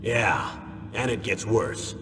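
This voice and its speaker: An actor playing an older, gruff military commander who briefs with formal, measured, grim authority in a video game cutscene. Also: male voice, over music. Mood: grave. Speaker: commanding officer. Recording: boomy reverberant hall, loud background noise.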